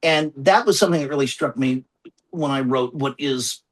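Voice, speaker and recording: Husky man, thoughtful and halting. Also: mid-range voice. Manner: halting, thoughtful, circling back and repeating phrases. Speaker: guest author and essayist. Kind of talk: reflective conversational interview answers.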